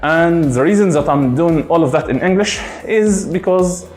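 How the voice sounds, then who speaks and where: A man's voice: fairly high pitch, normal speed, a little mellow, echoey room, faint music behind. Rambling Egyptian man in his thirties. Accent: Egyptian Arabic. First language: Arabic. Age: 30s. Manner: rambling, many fillers and restarts. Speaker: gaming streamer. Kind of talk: casual rant to camera.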